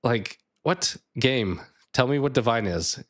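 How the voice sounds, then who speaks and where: A man streaming a card game live, thinking aloud and chatting with viewers, his voice a bit raspy. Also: medium pitch, expressive, unhurried, fairly bright tone. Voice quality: slightly raspy. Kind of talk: casual live gameplay chat.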